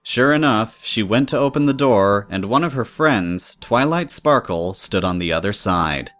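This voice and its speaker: Low-voiced male story narrator. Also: expressive, moderate pace, a bit bright.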